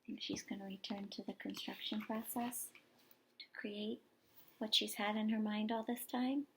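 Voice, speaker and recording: A woman teacher reading a picture book aloud expressively to young children, pausing for explanations and questions. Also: medium pitch, flat delivery, gravelly, slight background noise, low-quality recording. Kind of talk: expressive children's story read-aloud with asides to listeners.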